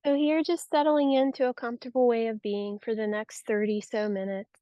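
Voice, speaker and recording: A woman guiding a yoga nidra relaxation practice with soothing, unhurried, gentle instruction. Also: fairly high pitch, little pitch movement, no echo, muffled recording. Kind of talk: guided relaxation meditation.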